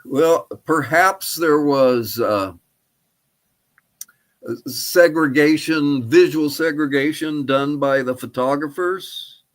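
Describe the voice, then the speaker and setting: An older man answering interview questions as a historian, speaking in a halting, thoughtful, tentative way. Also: fairly high pitch. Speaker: archaeologist and historian guest. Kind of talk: interview answers about research.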